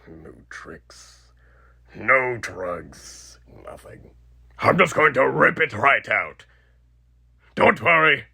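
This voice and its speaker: An old, undead killer machine from a horror audio drama, gleefully menacing his captive. The voice is harsh, piercingly bright and full of gravel, swinging widely between mocking highs and low muttering. He takes his time, theatrical and cruel. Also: big pitch swings, extremely slow, heavily acted. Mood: exasperated. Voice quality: raspy, gravelly. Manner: theatrical, mocking, muttering asides, sinister chuckles. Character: sadistic elderly undead killer animatronic, gleefully menacing captor.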